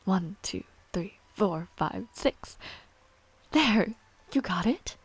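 A woman playing a young noble villainess in a romantic audio roleplay, proud yet shy and tender, speaking softly in formal, halting phrases. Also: mid-range voice, animated, slightly rough, dry recording, heavily acted. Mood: playful, fond.

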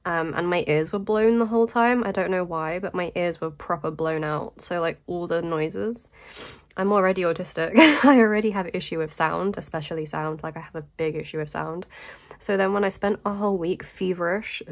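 A woman's voice: on the low side, normal speed. A woman talking casually in a vlog voice-over while sick, with a blocked nose, a sore throat and a voice that keeps fading, rambling with lots of ums and little laughs. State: congested and nasal from illness. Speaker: vlogger.

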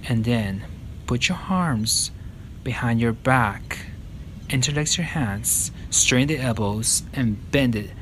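A man in his thirties guiding a yoga stretching session with slow, calm step-by-step cues. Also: medium pitch, slight background noise. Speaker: yoga instructor. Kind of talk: guided yoga instruction.

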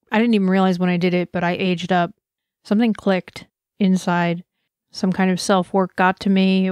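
A woman's voice: on the low side, darkish tone, no echo, clean studio sound. A woman giving a personal, earnest conference talk, speaking with passion and reflection. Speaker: conference presenter.

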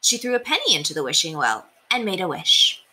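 Animated young woman reading a children's story. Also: lowish voice, a little fast, low-quality recording. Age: young adult. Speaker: teacher reading aloud. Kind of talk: expressive children's storybook read-aloud. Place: small indoor room. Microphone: webcam at desk distance.

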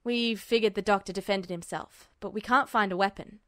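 A woman's voice: mid-range voice, fairly even intonation, fairly quick, a bit bright, no room sound, clean studio sound, pitched below the performer's own voice, close to an everyday voice. A woman voicing a matter-of-fact male town police officer with plain, clipped speech, in a story reading.

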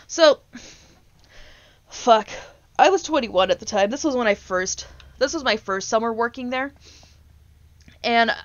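A woman's voice: medium pitch, a bit bright, no echo, highs cut off. A woman in her twenties ranting casually on a gaming stream, blunt, exasperated and sweary as she tells stories. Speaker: streamer, VTuber host.

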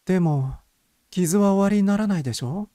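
A man's voice: high voice, brisk, dark tone, no echo, voice pushed up, close to an everyday voice. A refined young society lady, acted out by a male narrator, in a raised, dry-recorded voice. She is curious and playful, speaking politely with a light teasing edge, as in a storybook reading. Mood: curious, amused.

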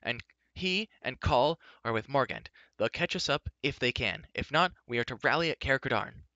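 A man doing an excitable boastful bard. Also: medium pitch, normal speed, no room sound, dull audio, pitched above the performer's own voice. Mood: excited. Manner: excited, effusive.

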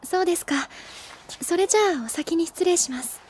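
A woman playing a polite, gentle, reserved teenage schoolgirl in an anime audio drama. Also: very high voice, brisk.

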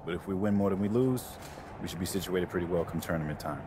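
A man's voice: low-pitched, fast, lightly acted. A man playing an earnest, motivating college basketball coach in a video game, speaking sincerely. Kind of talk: cinematic game dialogue. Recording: clear ambient noise behind the voice.

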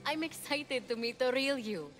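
An actress playing a brave young warrior heroine in a game, sincere and straightforward. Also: female voice, high voice, normal speed. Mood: reassuring. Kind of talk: game cutscene acting. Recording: loud music behind the voice.

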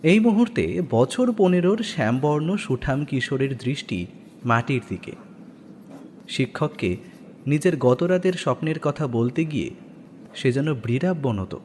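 A man's voice: medium pitch, very animated, a little slow, a little mellow, slight background noise. A man narrating a scripted audio story in a dramatic style. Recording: long studio reverb, background music.

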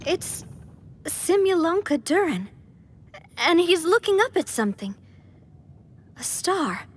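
High, lively spirited young woman. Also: takes it slowly, heavily acted. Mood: thoughtful, sympathetic. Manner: expressive, frank, sympathetic. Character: spirited, kind-hearted young woman adventurer. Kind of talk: animated video game dialogue.

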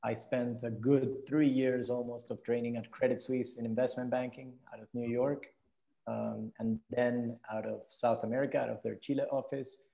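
Male podcast guest, thirties, from Venezuela; relaxed gravelly voice, thoughtful conversational answers. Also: mid-range voice, moderate pace, rough recording. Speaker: interview guest, entrepreneur. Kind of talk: reflective conversational interview answers. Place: indoor room, video call.

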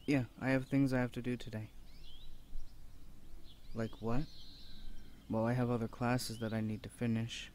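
A man's voice: medium pitch, level tone, normal speed, long pauses, a little mellow, slight background noise, lightly acted. Man acting a shy, stammering college nerd. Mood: awkward, earnest. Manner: stammering, hesitant, awkward, earnest. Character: shy, flustered, bookish young male university student. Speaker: voice actor. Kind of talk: ASMR boyfriend audio roleplay.

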